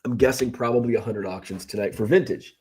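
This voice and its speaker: A man's voice: medium pitch, fast talker, heavy background noise. A middle-aged man who sells baseball cards, talking casually in a rambling, chatty way while sick with a cold. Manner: rambling, self-correcting, chatty. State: sick with a cold, slightly rough voice. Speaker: card seller and live streamer. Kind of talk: casual vlog chat.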